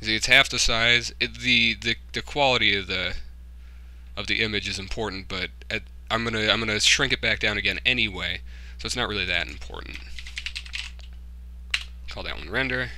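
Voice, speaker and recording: Casual male tutorial host, low-pitched with a very crisp, forward edge, informal and muttering through each step. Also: moderate pace, pushed and bright, slight background noise. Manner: informal, muttering to himself while working. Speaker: tutorial host, hobbyist game developer. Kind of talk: casual screen-recorded software tutorial, thinking aloud.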